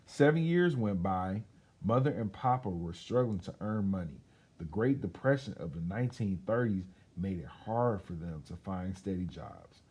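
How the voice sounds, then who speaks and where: A man reading a children's storybook aloud as a storyteller, in an expressive, chatty way with casual asides. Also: low-pitched, moderate pace, breathy edge.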